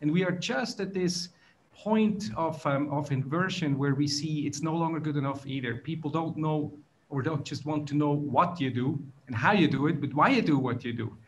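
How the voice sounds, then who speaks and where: Man, Swiss accent, middle-aged, slightly high voice, explains at length, video call. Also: normal speed, slight background noise. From Switzerland. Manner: thoughtful, explanatory, restarts phrases. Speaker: guest author. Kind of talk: interview answers over video call. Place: plain room indoors.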